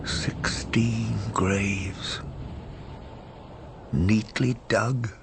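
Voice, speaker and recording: An actor playing a weary space captain in a radio drama, measured and grave, with calm authority. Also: male voice, lowish voice, vocal fry, noisy background, full character voice. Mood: grave, reflective. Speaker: captain and narrator.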